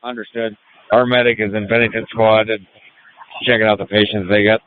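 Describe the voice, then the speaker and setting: Male field medic, lowish and rapid, clipped procedural reports through a phone-like two-way radio. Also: little pitch movement. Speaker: emergency medical field responder. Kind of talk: radio traffic status reports. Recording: tinny narrow-band radio channel.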